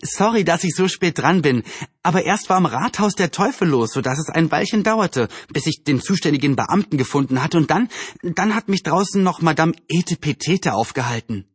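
A man's voice: a little high, lively intonation, normal speed, breathy edge, no room sound, voice pushed up. A man voicing a cheerful, chatty young girl with a teasing, eager manner in a storybook reading.

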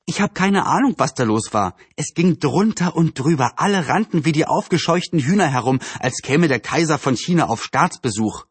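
A man's voice: on the high side, expressive, normal speed, hardly any pauses, slightly rough, dry recording, voice pushed up. A man voicing a cheerful, chatty young girl with a teasing, eager manner in a storybook reading.

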